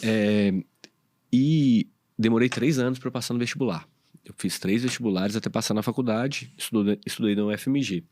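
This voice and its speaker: A man's voice: fairly low pitch, brisk, darkish tone, dry recording, studio quality. A Brazilian man, a podcast co-host and internist, telling his story in casual chat with many fillers and restarts.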